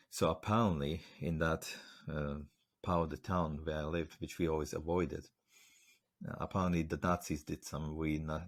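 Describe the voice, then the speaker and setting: A man with a very deep, low voice. He hosts a podcast interview and tells stories in a relaxed, rambling way, full of fillers and restarts. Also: husky. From Slovakia. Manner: rambling, storytelling with many fillers. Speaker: podcast host. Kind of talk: casual interview chat.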